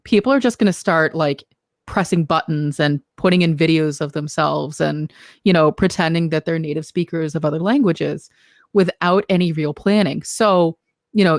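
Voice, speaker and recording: Low-voiced woman co-hosting a podcast. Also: dry recording, studio quality. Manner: practical, questioning, uses filler "you know". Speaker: podcast co-host. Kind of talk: casual conversational podcast chat.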